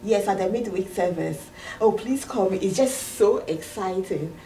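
A woman's voice: mid-range voice, moderate pace, dark tone, lightly acted. A middle-aged woman with a Ghanaian accent acting an eager, apologetic immigrant in an amateur comedy sketch, gushing and stumbling over her words. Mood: excited, hurried. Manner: gushing, hesitant restarts. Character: excitable, eager, apologetic immigrant woman with a new green card. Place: indoor office waiting room and counter. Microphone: far camera. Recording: roomy, distant camera mic with hiss.

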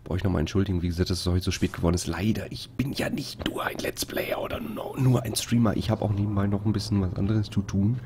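A man's voice: low voice, steady tone, fast talker, hardly any pauses, background noise. A man streaming a game live, chatting casually and rambling with many fillers while he greets viewers. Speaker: let's play streamer. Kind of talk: casual live-stream commentary over gameplay.

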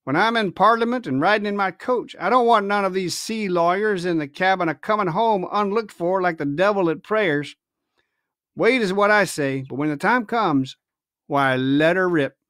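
A man's voice: high voice, moderate pace, a bit bright, no room sound, studio quality. A man reading a storybook, voicing a sly, domineering pirate ship's cook with hectoring, colloquial sailor speech. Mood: cold, menacing.

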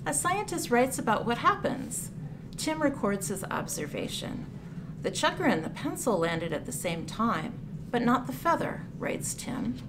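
A woman reading a picture book aloud for children, with deliberate, clearly phrased storytelling delivery. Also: on the high side, big pitch swings. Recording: clear background noise, room ambience.

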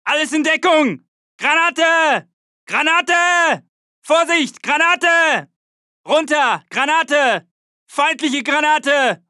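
Frantic, high-voiced German soldier. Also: male voice, animated, drawn-out words, sharp tone, no room sound, studio quality, heavily acted. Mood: urgent, aggressive. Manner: urgent, barking. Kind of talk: shouted video game battle chatter.

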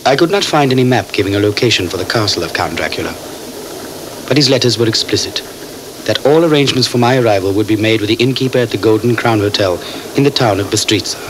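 An actor playing a polite, businesslike gentleman traveler in a radio drama, speaking in a formal, measured way. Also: on the low side, lively intonation, close to an everyday voice. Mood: measured narration. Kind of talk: radio drama acting with first-person narration. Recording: hissy 1979 cassette tape recording.

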